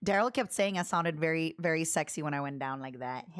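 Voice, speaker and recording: A woman's voice: low voice, fairly quick. A woman from Ukraine with a Russian accent, streaming a casual reaction, giggly and self-deprecating, now and then squealing in delight.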